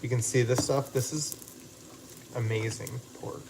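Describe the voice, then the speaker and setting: A man's voice: mid-range voice, steady tone, unhurried, gravelly, background noise. A man hosting a casual live cooking stream from a home kitchen, joking around and correcting himself mid-sentence. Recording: kitchen clatter behind. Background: dishes and pots clanking.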